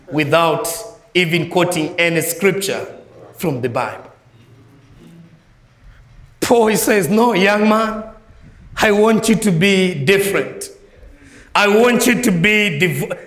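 Man, Malawian accent, sermon, emphatic delivery, higher voice, echoey church hall. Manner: emphatic, swings between soft low phrases and raised ringing lines. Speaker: guest preacher.

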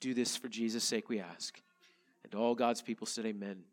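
A male pastor, a little nasal from a head cold, reading scripture and preaching in an earnest, measured way. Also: on the low side, steady tone, in a large hall. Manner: earnest, measured preaching with slow emphatic phrases. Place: church sanctuary.

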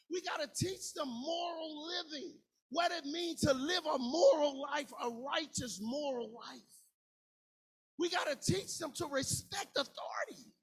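Man, 40s, preacher, very high pitch, impassioned shouting, sing-song cadence, casual grammar. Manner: colloquial, informal grammar. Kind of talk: sermon.

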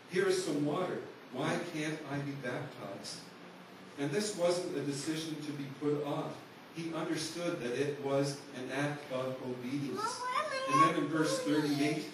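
A male pastor tells a story from the pulpit in an earnest, conversational way, his voice a little high. The mic sits far off in a big, very echoey sanctuary. A noisy hiss runs beneath him. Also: very animated, a little slow. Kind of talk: sermon.

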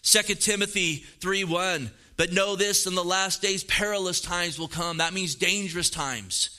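A man in his fifties delivers a sermon with earnest, repetitive emphasis. His voice sits high for a man and rings very bright and smooth. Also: moderate pace. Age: 50s. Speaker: pastor.

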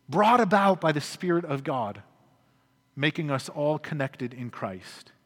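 A man preaching with earnest emphasis, his voice sitting a little high for a man. His phrases rise with force and then drop low at their ends. A long church reverb trails every line. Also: a bit bright. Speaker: pastor. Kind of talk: sermon. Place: church sanctuary.

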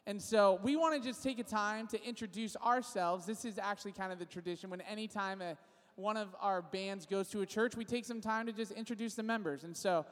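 A man's voice: high voice, a little fast, a bit bright. Young man speaking in a reverberant church. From California. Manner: casual, friendly, loose with restarts. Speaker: worship leader. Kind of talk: church service intro and spoken prayer. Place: church sanctuary. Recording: long reverb of a large church hall.

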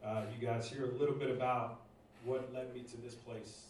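A man's voice: mid-range voice, level tone. Nervous man in an echoey church hall. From Northeast Philadelphia, US. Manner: nervous, halting, many fillers and restarts. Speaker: church member giving testimony. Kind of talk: personal testimony to a congregation. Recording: echoey room, distant mic, faint noise.

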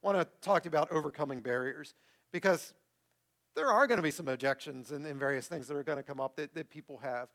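A man's voice: on the high side, fast talker, a bit bright. A man preaching as a pastor in a conversational way, repeating phrases for emphasis as he addresses his congregation. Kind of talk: church sermon with closing prayer and announcements. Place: speaking to a congregation in a room.

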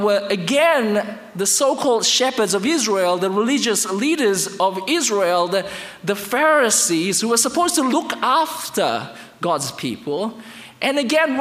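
A man from Indonesia, speaking English as his second language, preaching a sermon with emphatic highs and quiet lows. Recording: reverberant hall.

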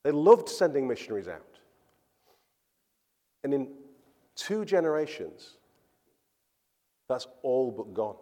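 A man's voice: medium pitch, big pitch swings, takes it slowly, long pauses, dark tone. A man preaching a sermon as a pastor, earnest and emphatic, often repeating words for stress. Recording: church hall with long reverb.

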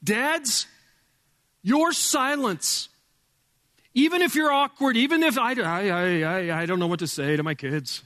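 A male pastor with a loud voice preaching a sermon in a church, emphatic, dropping from booming to hushed. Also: animated, unhurried, in a large hall. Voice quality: loud speaking voice. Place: church sanctuary with sound board.

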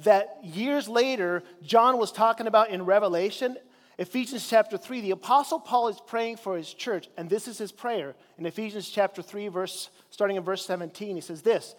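High-voiced man preaching from Chile. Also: moderate pace, in a large hall. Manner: conversational preaching with stretched key words. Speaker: pastor. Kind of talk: sermon. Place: church sanctuary.

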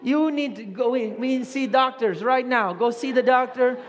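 A man's voice: very high voice, moderate pace, slight background noise. A man acting in a church skit as a harried, pushy male pizzeria owner, born in Italy and raised in the Bronx, with a put-on New York Bronx accent. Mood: boastful. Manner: harried, rambling, pushy with the crowd. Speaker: skit performer. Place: church sanctuary. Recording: long church reverb.

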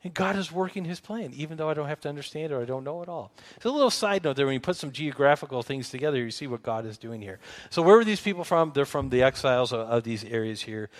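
A man with a Midwestern American accent preaching an informal sermon as a pastor, haltingly rephrasing himself and posing questions to listeners. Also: mid-range voice, animated. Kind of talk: conversational expository sermon.

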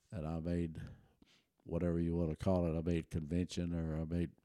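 Older man, german first language, low warm voice, folksy rambling storyteller, dry close sound. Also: monotone, normal speed, no echo. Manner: folksy, rambling with many restarts and chuckles. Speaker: interview guest. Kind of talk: relaxed storytelling.

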